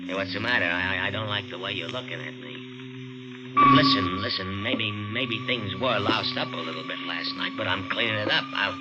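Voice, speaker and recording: A man's voice: lowish voice, huge pitch swings, normal speed, lo-fi sound, over loud music. An actor playing a menacing, slangy tough-guy cab driver in a 1950s radio thriller. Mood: nervous, pleading. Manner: slangy tough-guy talk, softly threatening. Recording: hissy old 1950s radio recording.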